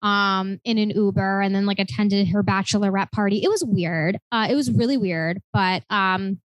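A woman in her late twenties co-hosting a podcast, telling stories in a chatty, animated way full of "like" fillers. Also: medium pitch, moderate pace, dry recording, rough recording. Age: 20s. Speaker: podcast co-host. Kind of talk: casual chat.

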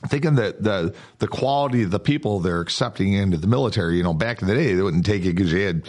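A man's voice: low-pitched, fast, darkish tone. A man co-hosting a casual livestream talk show, blunt and profane with strong opinions. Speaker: co-host.

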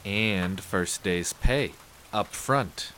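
An actor playing a gruff, cynical war veteran turned detective, terse and sardonic, in a 1930s noir audio drama. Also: male voice, on the low side, very slow, a bit bright, a little hiss or hum. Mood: wry, grumbling.

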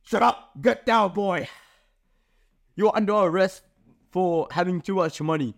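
A man's voice: high voice, unhurried, gravelly, pitched above the performer's own voice. A man voicing a brash, mocking male pirate kidnapper in a goofy comedy skit with shifting accents. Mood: bossy.

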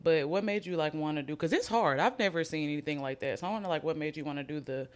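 A woman's voice: low voice, fast. A woman in her twenties hosting a podcast, chatting casually with slang and frequent laughter. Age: 20s. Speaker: podcast host. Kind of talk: casual chat.

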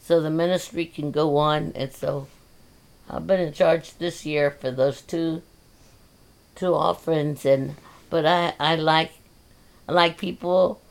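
A middle-aged woman chats casually in a gravelly voice. She speaks haltingly and restarts her sentences, with plenty of fillers. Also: low-pitched, steady tone. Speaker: guest, mother of the host. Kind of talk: casual family chat.

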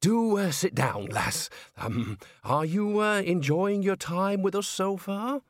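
A man's voice: high voice, lively intonation, slow, no room sound, pitched above the performer's own voice. A man voicing a nervous theatre manager who hesitates and stammers with many ums and uhs. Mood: flustered.

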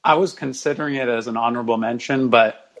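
A man's voice: medium pitch, fast, fairly bright tone. A man speaking as a guest in casual sports podcast conversation.

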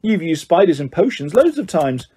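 A man voicing a sensible, confident schoolgirl with a brisk, reassuring manner in a storybook reading. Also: fairly high pitch, very animated, dark tone, husky, exaggerated voice. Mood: surprised.

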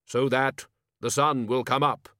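A male narrator performs a grim, humourless immortal in a comic fantasy storybook. He speaks gravely and deliberately, as if each word were fact. The recording is dry. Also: mid-range voice, fairly even intonation, sharp tone, dry recording, pitched above the performer's own voice. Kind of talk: audiobook character acting.

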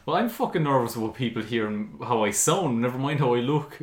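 A man's voice: mid-range voice, animated, brisk. A young Irish man with a posh Dublin accent, a podcast guest trading dry, joking remarks with occasional swearing. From Ireland. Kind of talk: casual chat. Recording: echoey room.

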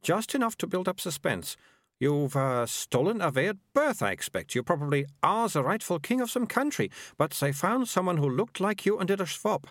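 A man's voice: sits high, big pitch swings, moderate pace, fairly bright tone, no echo, studio quality, pitched above the performer's own voice. A man voicing a bossy, imaginative young girl with a prim, know-it-all manner.

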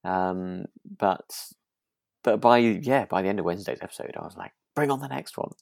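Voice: deep voice, normal speed, creaky, a little mellow, no echo, studio quality. A British podcast co-host chatting casually about a radio drama in a hesitant, conversational way.

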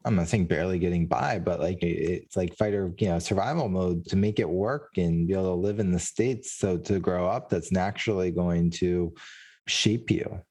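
Male podcast host, deep mellow voice, curious and supportive questioner. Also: moderate pace, slightly rough. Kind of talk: casual interview conversation.

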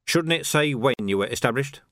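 A man's voice: mid-range voice, animated, brisk, a bit bright, dry recording, lightly acted. A man voicing a wry male city watch sergeant with a dry, mock-innocent manner, in an audiobook story. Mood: probing.

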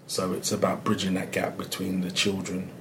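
A man's voice: low-pitched, monotone, normal speed, vocal fry, noisy background. A man, a head teacher, speaking in an interview in a firm, matter-of-fact way.

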